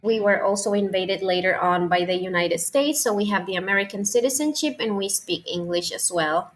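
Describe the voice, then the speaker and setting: A young woman with a thick Puerto Rican Spanish accent talks as a guest in a relaxed interview. English is her second language, so she stops often to hunt for the right word. Her voice sits at an ordinary, middling pitch for a woman. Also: a little fast. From Puerto Rico. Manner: hesitant, searching for words, frequent fillers. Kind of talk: casual interview conversation.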